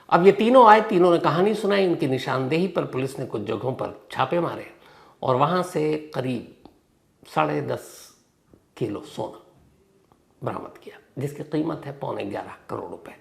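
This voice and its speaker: Gravelly man telling a crime story. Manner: dramatic pauses and emphatic stresses, sentences trailing off low. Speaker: host of a true-crime storytelling show. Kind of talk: narrative crime storytelling, conversational.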